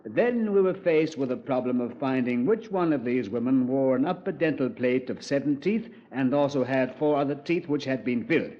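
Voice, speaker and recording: An actor playing a measured, understated British police commander narrating a case in a 1950s radio drama. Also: male voice, medium pitch, animated, close to an everyday voice. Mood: composed, recounting. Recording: muffled vintage radio recording.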